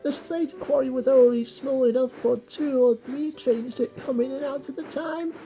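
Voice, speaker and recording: Woman voicing a high-pitched grouchy old lady railway coach. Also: fairly even intonation, moderate pace, warm tone, soft background music. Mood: aggrieved. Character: grouchy old female narrow-gauge railway coaches, aggrieved.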